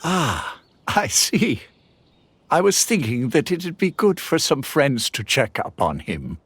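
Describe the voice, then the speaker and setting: Chill kind village head, slow and welcoming, really sing-song. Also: male voice, mid-range voice, huge pitch swings, a little hiss or hum, heavily acted. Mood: friendly. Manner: unhurried, gentle and welcoming. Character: kindly village head, calm and hospitable. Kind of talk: game voice acting.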